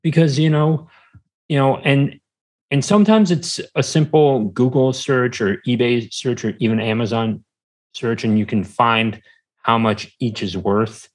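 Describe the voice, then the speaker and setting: A man talks casually as a guest on an interview podcast. His voice has an average male pitch. He rambles in a halting way, doubling back and repeating words as he builds each thought. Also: fairly even intonation. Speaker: podcast guest, small-business entrepreneur.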